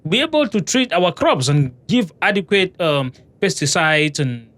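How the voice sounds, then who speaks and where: A man's voice: high voice, big pitch swings, unhurried, a bit bright. A man in a casual discussion, talking with hesitation and lots of restarts. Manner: hesitant, many fillers and restarts.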